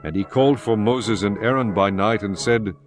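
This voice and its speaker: A man narrating scripture from a script in a solemn, measured, formal way. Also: on the low side. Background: music.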